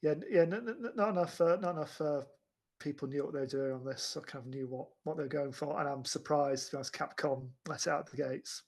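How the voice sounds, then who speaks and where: A man with a fairly high voice talks casually on a discussion show. He is halting, often doubling back on words and stumbling into his sentences. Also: darkish tone. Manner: halting, stammering, repeats words. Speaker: podcast panelist. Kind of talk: casual film discussion chat.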